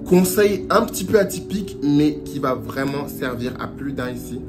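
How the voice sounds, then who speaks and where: Young french guy vlogging, mid pitch, chatty and animated, clear music bed behind him. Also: normal speed. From France. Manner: chatty and animated, slips into Portuguese phrases. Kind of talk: casual advice vlog. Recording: music playing under the voice.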